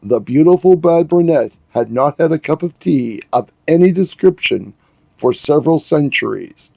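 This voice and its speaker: A man narrating a scripted fairy tale as a storyteller, in a measured way. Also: a little high, animated, creaky, dry recording, highs cut off. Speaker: author and narrator.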